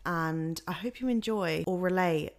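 A woman's voice: fairly low pitch, a little slow. A woman hosting a podcast, chatting in an encouraging way with lots of fillers. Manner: warm, encouraging, filler-heavy. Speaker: podcast host. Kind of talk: casual podcast interview.

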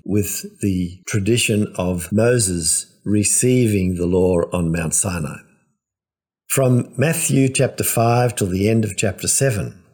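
Priest, male, low husky voice, unhurried scholarly homily delivery. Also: darkish tone. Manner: measured, lecturing explanations. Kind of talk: reflective homily.

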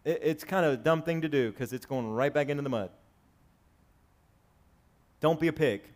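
A man in his forties preaching a sermon to his congregation, swinging between raised, emphatic lines and quiet, conversational asides. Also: on the high side, moderate pace. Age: 40s. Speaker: pastor. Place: church sanctuary with congregation.

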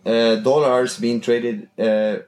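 Casual podcast guest: man from Chile, halting and thoughtful, mid-pitched. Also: fairly even intonation, a little mellow. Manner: hesitant, restarts often, searches for words.

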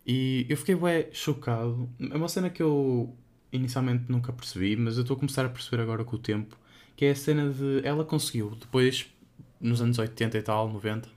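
A man's voice: medium pitch, brisk, darkish tone. A young man with a European Portuguese accent chatting casually as a podcast co-host, rambling and hesitant, with many fillers.

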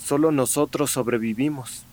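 A man's voice: mid-range voice, normal speed, darkish tone, lightly acted. An actor playing a conciliatory, pleading male suspect in an audio drama. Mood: placating.

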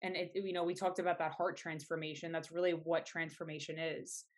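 A woman's voice: deep voice, level tone, brisk. A woman guest on a health podcast, speaking in a soothing, earnest way about healing and self-love. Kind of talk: casual podcast interview.

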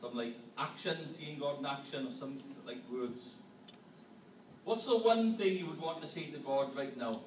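A man's voice: medium pitch, expressive, moderate pace, distant mic. A man leading an interactive family sermon in a church hall, playfully quizzing the congregation. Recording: echoey hall, mic from afar, room noise.

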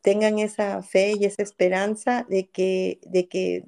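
Gravelly-voiced woman guest. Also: medium pitch, little pitch movement, a little slow, warm tone. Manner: earnest, hesitant, repeats words. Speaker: guest psychologist. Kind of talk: interview talk.

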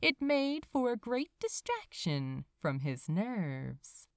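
Very expressive, unhurried woman narrator. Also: medium pitch, heavy background noise. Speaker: audiobook-style narrator of fan fiction. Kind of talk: expressive scripted story narration.

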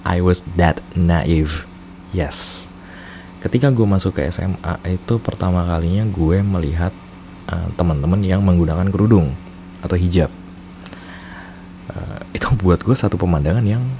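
Deep, mellow Jakarta man chatting casually. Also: unhurried, pauses often, a little hiss or hum. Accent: Jakarta Indonesian. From Indonesia, Jakarta. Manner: self-mocking, informal slang. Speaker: storyteller host. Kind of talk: casual personal storytelling with English code-switching.

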